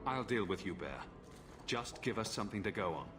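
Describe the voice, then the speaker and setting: A man voices a confident adventurer in a video game, reassuring and persuasive with a wry streak. His voice sits a bit low but moves expressively. Music plays clearly behind it. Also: expressive, fairly quick, lightly acted. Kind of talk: dramatic video game acting.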